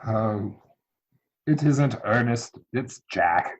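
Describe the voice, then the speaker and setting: A man playing a proper, earnest young English gentleman, evasive and easily exasperated, speaking formally in a dramatic reading of a Victorian stage comedy. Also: on the low side, animated, very slow, exaggerated voice, low-quality recording. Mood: flustered, evasive. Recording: home recording over video call.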